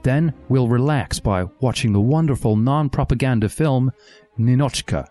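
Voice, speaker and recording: A man's voice: medium pitch, normal speed, dark tone, faint music behind, close to an everyday voice. A man voicing an evil, smug professor with a sneering manner in a satirical story.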